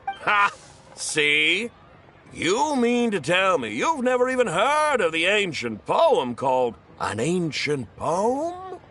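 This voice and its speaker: A man's voice: high voice, huge pitch swings, takes it slowly, bright, forceful tone, a little hiss or hum, heavily acted. A man playing a boastful, easily flustered young storyteller in an anime game, with a theatrical, self-dramatizing delivery. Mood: challenging.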